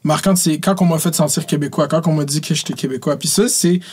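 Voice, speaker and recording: A man's voice: a little high, fast talker, a little mellow, slightly rough. A man from Laval with a Quebec French accent, hosting a casual podcast interview and mixing English slang into his French. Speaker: podcast host. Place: podcast studio.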